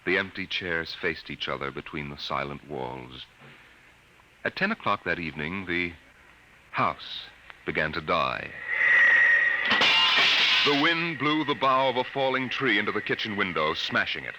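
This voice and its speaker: An actor playing a grave, dramatic storyteller, narrating in the style of 1950s radio drama. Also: male voice, low voice, expressive, a little slow, long pauses, background noise. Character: grave, dramatic male storyteller of a doomed house. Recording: old radio recording with loud music.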